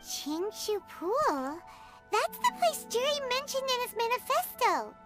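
A tiny, eager fairy sidekick from a game. Her voice is squeaky and very high, leaping up and down in pitch. Game music plays behind her. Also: sing-song, unhurried, exaggerated voice. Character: tiny floating female fairy companion, chirpy and eager.

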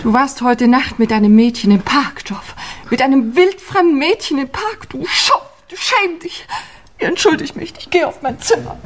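A very high, excitable young lady's voice acted by a woman in a 1950s radio play, easily wounded and jealous. Faint noise and clicks run through the old recording. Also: moderate pace, natural voice. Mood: hurt, outraged. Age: young adult.

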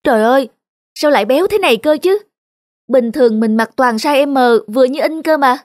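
A plaintive young bride-to-be with a high voice, over prominent music. She is insecure and hard on herself, in the manner of cartoon drama. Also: expressive, moderate pace. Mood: dismayed. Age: young adult. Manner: plaintive, self-critical. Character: insecure young bride-to-be fretting about her weight. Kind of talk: animated cartoon dialogue.

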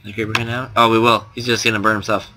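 A man's voice: on the low side, level tone, rapid-fire, noisy background. A young man with a slight lisp giving casual gameplay commentary, rambling with lots of fillers and restarts. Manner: rambling, self-deprecating, frequent fillers and restarts.

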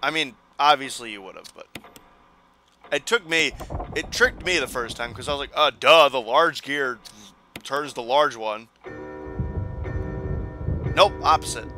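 A young man commenting on a video game as he plays, joking and mocking himself, with a hoarse voice that he says is going. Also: mid-range voice, a little slow, long pauses, slight background noise. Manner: joking, self-mocking, animated. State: hoarse, losing his voice. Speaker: gaming channel host. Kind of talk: casual let's play commentary.

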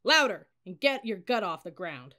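A woman's voice: a little high, fairly quick. A woman voicing a bullying young male drill instructor at a military academy, barking orders and scolding angrily in an audiobook. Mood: scornful. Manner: barking, shouted commands and sarcastic scolding.

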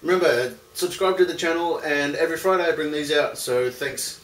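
A man's voice: a little high, a little fast, fairly bright tone. A man in his thirties giving an informal, chatty cooking tutorial as the host, talking directly to viewers. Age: 30s. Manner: informal, addresses viewers as guys. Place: home kitchen, indoors. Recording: clearly noisy home kitchen recording.

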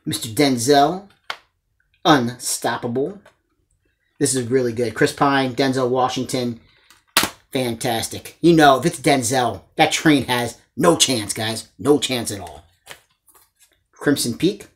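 A man's voice: medium pitch, takes it slowly, pauses often, slight background noise. Man in his forties, mid-range voice, casual vlog host, enthusiastic, chatty show-and-tell delivery. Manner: enthusiastic, addresses viewers as guys. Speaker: host of a movie collecting channel. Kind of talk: casual chat showing a haul to camera. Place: small indoor room lined with disc shelves.

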